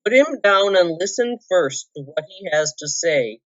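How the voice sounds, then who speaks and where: A woman's voice: on the low side, lively intonation, unhurried. A woman voicing an old male wizard in a storybook, firm, dry and authoritative. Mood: commanding, wry. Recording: dull lo-fi roomy recording.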